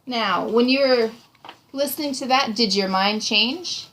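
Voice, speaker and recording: A woman's voice: on the high side, unhurried, a bit bright. A woman in her thirties reading a picture book aloud to a child, encouraging and chatting as she explains and asks questions. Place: small room indoors. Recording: noisy home recording with room ambience.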